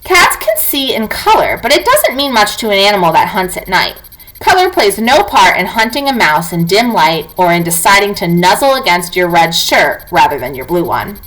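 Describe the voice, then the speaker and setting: A woman with a bright, forward voice reads a children's non-fiction book aloud like a classroom teacher. Her pitch moves in lively swings, and she enunciates clearly as she speaks directly to young pupils. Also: low-quality recording. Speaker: teacher reading aloud to students.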